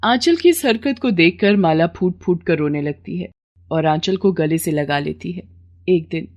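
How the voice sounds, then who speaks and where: A woman's voice: on the low side, expressive, normal speed, a little mellow, lo-fi sound. A woman reading scripted narration for an emotional moral story.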